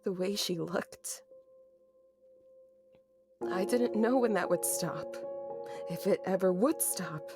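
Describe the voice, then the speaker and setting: A woman's voice: on the low side, takes it slowly, pauses often. An actress playing a grieving, anxious young woman, narrating a horror audio drama in an introspective, bitter, sweary manner. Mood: bitter grief. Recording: music behind the voice. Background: music.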